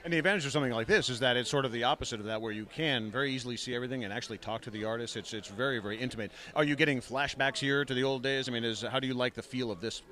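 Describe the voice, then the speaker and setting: A man's voice: medium pitch, very fast, hardly any pauses, bright, forceful tone. A man doing a casual on-site interview, enthusiastic and complimentary as host.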